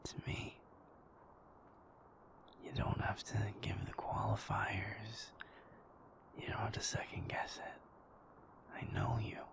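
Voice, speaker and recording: A man playing a gentle, devoted werewolf boyfriend in an intimate ASMR roleplay, speaking in a breathy whisper with tender, halting reassurance. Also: little pitch movement, heavily acted. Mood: tender, reassuring. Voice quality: breathy whisper with gravelly low murmur.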